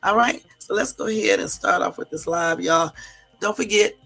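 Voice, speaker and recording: A hoarse woman from the US West Coast hosting a live stream, chatting casually with viewers and sprinkling in lots of fillers. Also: medium pitch, normal speed, fairly bright tone, faint music behind. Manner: chatty, addresses viewers as y'all, many fillers. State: hoarse from a cold. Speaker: livestream host.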